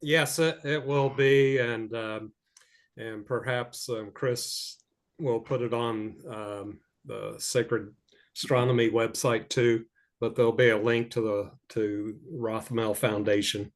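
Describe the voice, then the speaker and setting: Slow, hesitant man on a call. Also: mid-range voice, steady tone. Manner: trailing off, hesitant. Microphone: video call.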